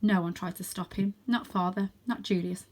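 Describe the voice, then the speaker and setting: A woman's voice: medium pitch, steady tone, moderate pace, darkish tone. A woman reading a children's storybook aloud as scripted narration. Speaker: storybook reader.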